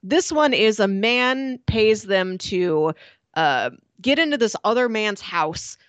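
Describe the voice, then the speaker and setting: A woman co-hosting a casual book podcast, retelling a story in an animated way with frequent laughs. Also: mid-range voice, slow pace, no echo. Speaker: podcast co-host. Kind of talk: casual book-club chat.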